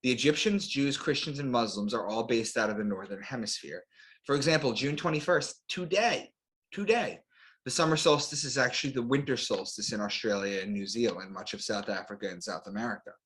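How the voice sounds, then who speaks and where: A man's voice: medium pitch, a little fast, a bit bright. A man giving an explanatory lecture as an interview guest, in short declarative phrases with rhetorical questions. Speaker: guest author and astrotheologist.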